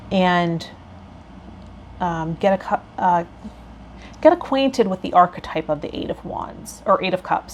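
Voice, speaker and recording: A woman's voice: fairly low pitch, a little mellow. Woman reading tarot meanings, noisy recording. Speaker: tarot vlogger and healer hosting a series. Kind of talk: reading tarot meanings aloud with casual commentary. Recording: noticeable background noise.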